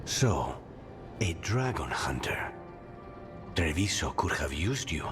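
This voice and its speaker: A man playing a grim, loyal assassin in a fantasy game, restrained, with a breathy edge. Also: very deep voice, big pitch swings, slow pace, music in the background, heavily acted. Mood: grim, brooding. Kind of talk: dramatic video game acting.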